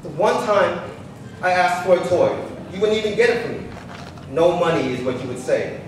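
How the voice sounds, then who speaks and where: A man's voice: high voice, animated, moderate pace. A man on stage playing a wounded, resentful grown son in a staged reading, speaking in an accusing, aggrieved way. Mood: angry, accusing. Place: large echoey public hall with glass balcony. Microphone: handheld microphone, heard through room speakers. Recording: echoey hall, distant, faint noise.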